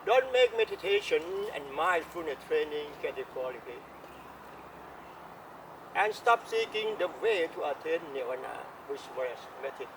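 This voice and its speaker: A Thai-accented man giving a slow, earnest Dharma talk, his fairly high voice full of gravelly fry. He sits some way from the microphone. Loud vehicle and street noise runs behind him. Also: sing-song, far from the mic. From Thailand. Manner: earnest, emphatic, repeats key phrases. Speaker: Buddhist Dharma teacher.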